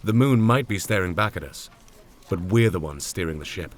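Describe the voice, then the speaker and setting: A wry, steady mission control boss in an audio drama. His voice sits on the low side, composed and dry in a naturalistic delivery. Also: moderate pace. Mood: reassuring.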